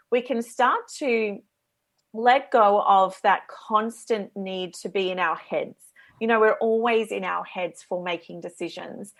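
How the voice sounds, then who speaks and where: An Australian woman talks as a guest on a radio interview, explaining her coaching work in an earnest, eager way. Her voice sits at an ordinary middle pitch for a woman. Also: a bit bright.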